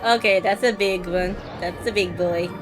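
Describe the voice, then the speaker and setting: A woman's voice: medium pitch, fairly even intonation, normal speed. A woman streaming a game with casual, playful commentary, reacting in short murmured asides. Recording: game music behind the voice. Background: game music.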